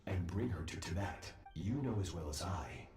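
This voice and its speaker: An actor playing a proud, self-assured master warrior in a video game, haughty and aloof, speaking in commanding declarations. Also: male voice, low-pitched, animated, normal speed, a little mellow, echoey room. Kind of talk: scripted game dialogue.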